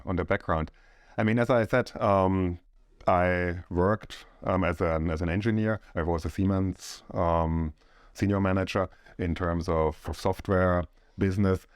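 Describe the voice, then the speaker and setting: A middle-aged man from Austria, a company co-owner, chatting on a podcast in a measured, halting way. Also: low-pitched, clean tone, no echo, clean studio sound. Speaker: co-owner of a real estate firm. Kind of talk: casual podcast chat.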